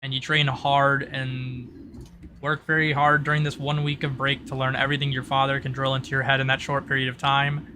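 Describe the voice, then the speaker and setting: A man's voice: mid-range voice, monotone, a little fast, sharp tone, slight background noise. A man narrating a tabletop roleplay as game master, in a casual, informal storytelling way.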